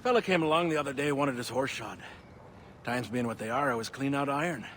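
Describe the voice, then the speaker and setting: A man's voice: mid-range voice, fairly quick, a bit bright, a little hiss or hum, close to an everyday voice. A man playing a folksy, evasive blacksmith. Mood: sheepish. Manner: colloquial, drawling, apologetic. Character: folksy male blacksmith, working-class, evasive.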